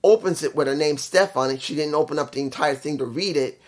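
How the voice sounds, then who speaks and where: A man's voice: on the high side, fast. A man with a congested, nasal voice from allergies, giving a casual spoken review of a wrestling show with rambling restarts.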